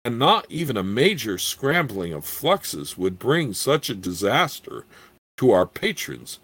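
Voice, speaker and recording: Man putting on a fussy little gnome proprietor, swooping pitch. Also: very animated, unhurried, a bit bright, dry recording. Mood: indignant. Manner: fussy, formal salesman's patter. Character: small old gnome proprietor, squeaky, eager, easily indignant. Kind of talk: fantasy storybook character reading.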